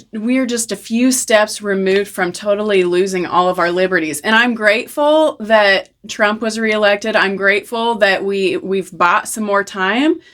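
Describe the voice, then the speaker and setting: A woman's voice: medium pitch, fairly bright tone. A young woman hosting an interview, emphatic and affirming. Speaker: host. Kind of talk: interview conversation.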